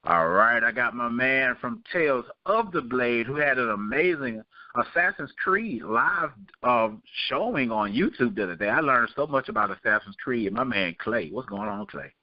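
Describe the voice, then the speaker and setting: Male host, chatty and familiar, mid-pitched, on slightly dull, close dry audio. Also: expressive, moderate pace, no echo. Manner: chatty, addresses guests as my man. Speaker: show host. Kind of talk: casual panel show hosting.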